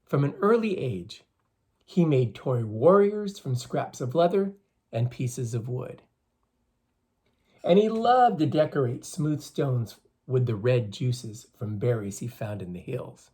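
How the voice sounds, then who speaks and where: A reader with a slightly husky voice, reading a picture book aloud to young children and explaining things as they go. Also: mid-range voice, lively intonation, slow, mellow. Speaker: storybook reader for schoolchildren.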